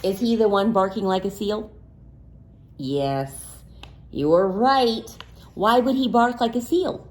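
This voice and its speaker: A woman's voice: medium pitch, takes it slowly, long pauses, a little mellow. A woman reading a picture book aloud to children expressively, with simple questions and asides to young listeners.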